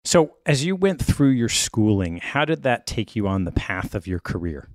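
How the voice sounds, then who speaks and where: A man hosting a podcast, reading a smooth scripted intro and ad before he asks interview questions. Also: fairly low pitch, big pitch swings, normal speed, no echo. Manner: smooth, read intro with an ad segment. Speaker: podcast host.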